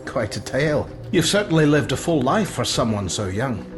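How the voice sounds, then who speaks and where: A man's voice: mid-range voice, big pitch swings, normal speed, music in the background, close to an everyday voice. A man playing a genial, nosy ship captain in an audio drama, deferential but chatty and familiar. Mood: curious, familiar.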